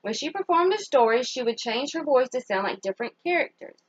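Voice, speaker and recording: A woman reading a children's story aloud as a teacher would, pausing to put simple questions to young listeners and praise their answers. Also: high voice, sing-song, dry recording, highs cut off.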